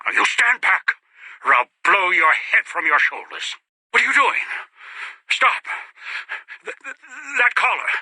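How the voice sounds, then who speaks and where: An actor playing a bitter, obsessive, paranoid old man in a video game, with a strained raspy voice and theatrical delivery. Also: high-pitched, big pitch swings, measured pace, long pauses, bright, forceful tone, no echo, heavily acted. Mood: hostile, suspicious, then frantic panic. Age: older. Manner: theatrical, muttering to himself, swinging into desperate outbursts. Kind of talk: dramatic video game voice acting.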